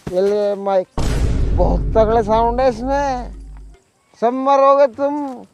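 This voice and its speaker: A man playing an exasperated villager, reproachful and drawn-out, in a comedy sketch. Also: very high-pitched, fairly even intonation, full character voice. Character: exasperated villager scolding the squabblers. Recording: dull lo-fi sound, background music.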